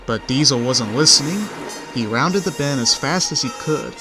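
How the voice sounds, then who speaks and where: A man's voice: mid-range voice, expressive, moderate pace. A man narrating a scripted children's story in the third person. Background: background music.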